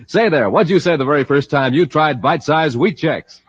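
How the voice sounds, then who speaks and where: A male announcer narrating and pitching in a 1950s radio style. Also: animated, normal speed, breathy edge, no echo. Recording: muffled, slightly noisy old radio recording.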